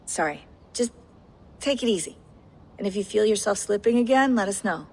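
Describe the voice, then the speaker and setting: An actress playing a practical, watchful technician, speaking matter-of-factly in video game dialogue. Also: female voice, mid-range voice, lively intonation, moderate pace, darkish tone, husky. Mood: concerned. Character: practical, watchful woman technician guiding a team. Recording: long hall reverb, faint noise.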